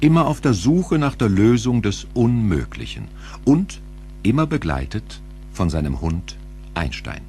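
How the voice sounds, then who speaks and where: Low-voiced, animated male narrator. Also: a little slow, slight background noise. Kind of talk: scripted dramatic narration.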